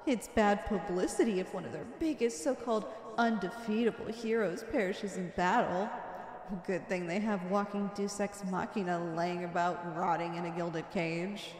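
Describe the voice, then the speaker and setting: In a large, echoing space with long reverb, a woman plays a female supervillain speaks in a theatrical, playful way at a medium pitch. She is flirtatious and teasing, with righteous conviction underneath, in the manner of an ASMR roleplay. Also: natural voice. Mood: indignant, impassioned. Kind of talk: ASMR roleplay, scripted acting. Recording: echoey hall-like reverb.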